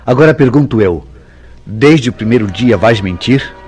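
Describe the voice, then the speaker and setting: A man's voice: medium pitch, animated, normal speed, a little mellow, close to an everyday voice. A Brazilian man acting a jealous, suspicious newlywed husband in a radio soap opera, speaking in a formal, theatrical way. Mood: stern, accusing. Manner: formal, theatrical, interrogating his wife. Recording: radio drama with music behind.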